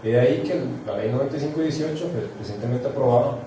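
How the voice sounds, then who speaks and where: A man's voice: medium pitch, quick pace. A male university researcher, explaining figures on a conference panel with frequent fillers. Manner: explanatory, self-correcting with fillers. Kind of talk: panel talk with statistics. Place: large hall. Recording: echoey hall, far from mic, noisy.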